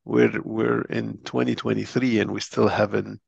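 A gravelly, somewhat low-voiced middle-aged man from Lebanon, speaking as an interview guest. He explains with animated conviction, restarting phrases often. The sound is dry and a little muffled. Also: normal speed, no echo. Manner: earnest, animated explainer with frequent restarts and laughs. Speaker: interview guest, physician and company chief. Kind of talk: conversational interview.

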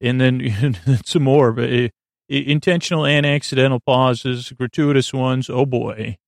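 A man hosting a sleep podcast, talking in a soft, lulling, creaky voice that wanders off on tangents, full of filler words and pauses. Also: medium pitch, steady tone, no room sound, clean studio sound. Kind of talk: meandering bedtime monologue.

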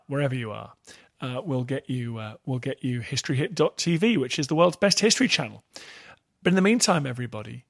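A man hosts a podcast, chatty and brisk with playful asides. His voice is mid-pitched and recorded close and dry. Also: fairly bright tone, no room sound. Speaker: podcast host. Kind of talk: podcast intro and interview.

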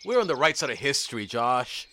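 Pompous, forceful zealot villain. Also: male voice, medium pitch, very animated, brisk, slight background noise. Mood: smug scheming. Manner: pompous, declamatory, bossy. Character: pompous, self-righteous young male zealot villain, scheming. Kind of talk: comic audio drama villain acting.

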